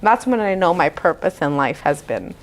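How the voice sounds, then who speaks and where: A woman's voice: fairly low pitch, sing-song, normal speed, slight background noise, rough recording. A woman counsellor from Canada talking in a casual, encouraging way and often checking in with "right?". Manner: warm encouraging listener, frequent "right?" tags. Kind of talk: casual conversation.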